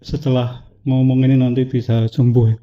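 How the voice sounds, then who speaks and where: A man with a cough reading a poetic prepared talk to an audience, slow and measured, with dramatic pauses. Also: medium pitch, flat delivery, lo-fi sound. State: has a cough. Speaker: speaker at a talk event.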